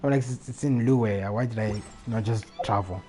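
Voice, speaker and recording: A man's voice: lowish voice, normal speed, music in the background. A man talking casually as a live game streamer, rambling and often repeating his phrases. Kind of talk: casual gaming livestream chat.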